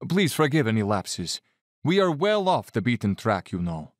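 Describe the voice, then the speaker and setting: An actor in an audio drama playing an urbane Cossack general and hunter, courtly and self-satisfied, with quiet menace. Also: male voice, medium pitch, big pitch swings, normal speed, dry recording, studio quality, natural voice. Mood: courteous, hospitable.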